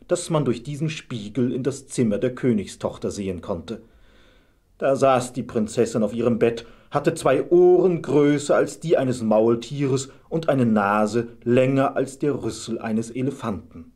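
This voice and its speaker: A male narrator tells a scripted fairy tale. His voice is medium in pitch and noticeably husky. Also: a little mellow. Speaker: storyteller, narrator.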